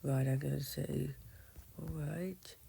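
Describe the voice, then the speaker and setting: A man's voice: a little high, steady tone, takes it slowly, vocal fry, noisy background. A man giving casual, rambling commentary on his game, often losing his thread and calling out to viewers as "guys". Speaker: gamer narrating his play.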